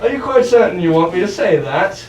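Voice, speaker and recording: A man's voice: high-pitched, big pitch swings, a little fast, darkish tone. A man playing an eager, timid young prince in a children's stage play, speaking in a prim, over-polite and hesitant way. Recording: echoey stage from far off.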